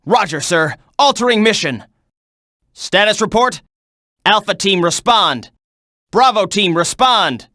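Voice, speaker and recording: A video game soldier in the thick of battle. The delivery is clipped and urgent, with a sharp, forceful edge and animated swings in pitch. It sounds close and dry. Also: male voice, a little slow, pauses often, no echo, exaggerated voice. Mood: grim, resolute, then brisk, businesslike. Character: tense military soldier barking combat reports and orders.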